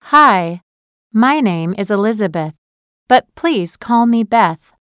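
A woman's voice: medium pitch, animated, takes it slowly, a bit bright, dry recording, clean studio sound. A woman playing Beth, a polite new student. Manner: careful, clear pronunciation. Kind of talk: scripted textbook dialogue.

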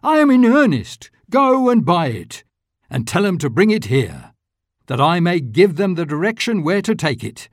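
A giddy old miser bursting with joy, as a storyteller puts him on. The voice is lifted high above the reader's own, and it leaps about with excitement in a dry, close recording. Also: moderate pace, no room sound. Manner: excited, exclaiming.

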